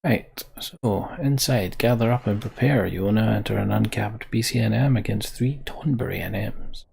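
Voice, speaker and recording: A man's voice: fairly low pitch, moderate pace, vocal fry, a little mellow, slightly rough. A man giving casual live commentary as he streams a video game.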